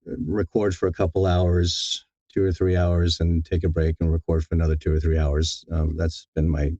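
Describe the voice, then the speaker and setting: Low voiced guy chatting in an interview, halting, repeats himself, slightly muffled dry recording. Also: flat delivery, dark tone, no echo. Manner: halting, thoughtful, repeats words as he goes. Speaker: audiobook narrator being interviewed. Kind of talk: casual interview talk.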